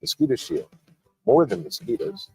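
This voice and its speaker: A man's voice: lowish voice, big pitch swings, normal speed, darkish tone, husky, soft background music. A man reading a scripted commercial in an upbeat, salesy way. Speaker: ad announcer.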